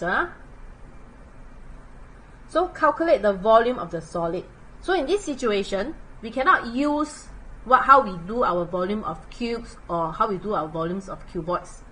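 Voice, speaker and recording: Animated Singaporean woman tutoring math. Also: fairly high pitch, unhurried, long pauses, a little hiss or hum, low-quality recording. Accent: Singaporean English. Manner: chatty, animated, uses local particles like lah and leh. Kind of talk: livestream math lesson.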